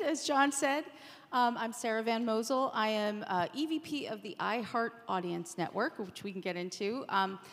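A middle-aged woman speaks casually and informally as a conference panelist. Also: a little high. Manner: chatty, informal. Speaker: panelist, audio executive. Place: on stage at a conference session. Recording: reverberant conference room sound.

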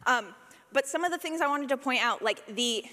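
A woman's voice: high-pitched, lively intonation, moderate pace, pushed and bright, big-room sound. A woman giving an informal talk as a sound designer, chatty, playful and self-deprecating.

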